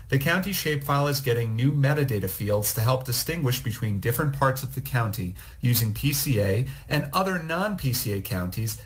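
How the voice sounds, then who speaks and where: A man reading a scripted, recorded technical presentation in a measured, explanatory way. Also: medium pitch. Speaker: program manager presenting.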